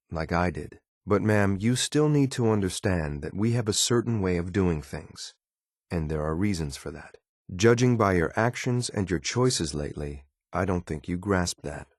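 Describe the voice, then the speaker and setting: Deep-voiced, deadpan android soldier. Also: male voice, moderate pace, no room sound, clean studio sound. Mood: stern, reproachful. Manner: formal, clipped, deadpan, deferential yet blunt. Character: cool, loyal, disapproving male android soldier. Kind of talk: video game companion voice lines.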